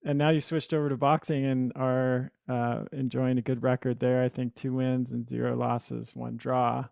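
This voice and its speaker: A male podcast host with a mellow, warm tone and a measured delivery. His voice is dry and close, with no room sound. He moves from a read introduction to relaxed interview questions. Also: medium pitch, vocal fry, no echo.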